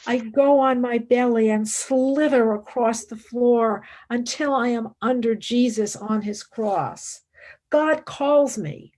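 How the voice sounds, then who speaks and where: A woman's voice: on the high side, darkish tone. An actress playing a fervent, devout woman philosopher and mystic in a radio play, speaking in intense, formal, reverent declamation. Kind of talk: radio play acting, monologue and dialogue.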